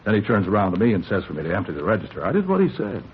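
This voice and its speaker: An actor playing a tough, self-justifying American bar owner shaken by a robbery, in clipped, streetwise 1950s radio police drama style. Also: male voice, lowish voice, wildly swooping pitch, racing. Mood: tense recounting. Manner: clipped sentences, boastful, defensive, streetwise slang. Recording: muffled, hissy 1950s radio recording.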